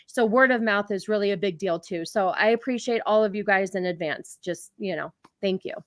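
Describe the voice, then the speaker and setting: A woman's voice: mid-range voice, fairly quick, no echo. A woman chatting as a podcast guest in an earnest, heartfelt and self-deprecating way from her home streaming setup. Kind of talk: casual chat.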